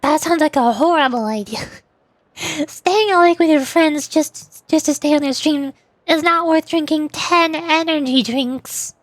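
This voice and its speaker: A woman's voice: sits high, a little slow, no echo. A woman chatting casually and playfully with her stream viewers as she plays a game, giggling and teasing as she goes. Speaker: VTuber livestream host.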